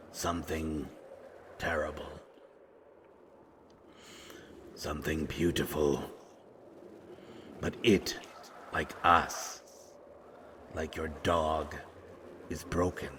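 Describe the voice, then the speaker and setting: Man voicing a very low, halting, eerie broken being. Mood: ominous, conflicted. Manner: halting, cryptic, formal phrasing in short fragments. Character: eerie broken supernatural being speaking as a plural "we". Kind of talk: scripted audio roleplay acting. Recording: noticeable background noise.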